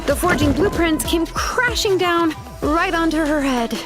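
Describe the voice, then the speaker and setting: An actress playing a cool, practical young artisan in a video game, laid-back and matter-of-fact. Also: female voice, very high voice, measured pace. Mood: relaxed, explanatory. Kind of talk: dramatic video game voice acting. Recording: clear music behind the voice.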